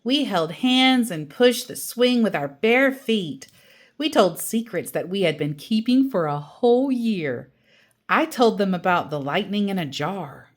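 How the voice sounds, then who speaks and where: A woman reading a children's story aloud as an animated storyteller, acting out the dialogue expressively. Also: medium pitch, a little slow. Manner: acts out quoted dialogue expressively.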